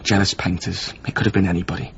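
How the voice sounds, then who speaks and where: On a muffled recording with faint noise, a weary, plain-spoken man in a radio drama. His voice is on the low side, and he talks in short, matter-of-fact fragments. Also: flat delivery, fast talker. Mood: grim. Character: weary, plain-spoken former companion of a doomed painter. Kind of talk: naturalistic radio drama acting.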